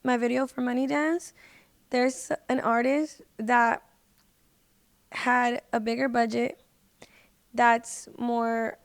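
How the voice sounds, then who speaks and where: A woman's voice: on the high side, little pitch movement, slow pace, long pauses, no room sound. A woman chatting casually as a podcast guest, in an informal, slangy way. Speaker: podcast guest, singer and social media creator.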